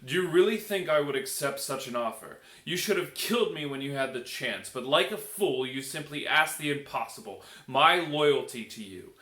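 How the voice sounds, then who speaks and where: A man voicing a proud, scornful traitor who defies his king in a storybook, precise and deliberate. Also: fairly high pitch, lively intonation, sharp tone. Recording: echoey room.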